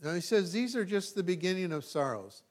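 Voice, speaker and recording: Higher-voiced Californian man preaching informally. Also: expressive. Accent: Californian American. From California, United States. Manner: folksy, self-deprecating, halting with repeated checks to listeners. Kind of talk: informal, conversational sermon.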